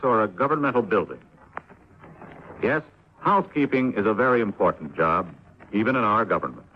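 Animated American male radio announcer. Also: mid-range voice. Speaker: announcer of a public information talk. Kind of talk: scripted 1950s radio narration. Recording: dull 1950s radio broadcast, faint noise.

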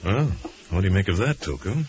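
An actor playing a wealthy, courteous young American crime-fighter in 1940s radio style, on a muffled old recording. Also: male voice, low voice, animated, fairly quick, background noise. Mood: composed.

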